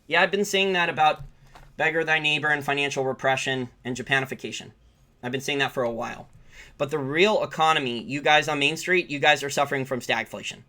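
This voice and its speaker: A man's voice: fairly high pitch, brisk, bright, forceful tone. An American man giving informal, opinionated, off-the-cuff financial market commentary as a channel host, repeating points for emphasis. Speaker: finance channel host answering live viewer questions.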